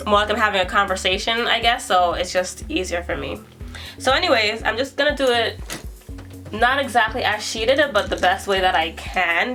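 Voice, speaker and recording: A young woman chats her way through a makeup tutorial, thinking aloud and poking fun at her own attempts. Her voice sits at an ordinary middle pitch but has a bright, forward edge. She drifts closer and farther from the camera mic as she works, with soft music in the background. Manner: chatty and self-deprecating, thinking aloud while working. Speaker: beauty vlogger.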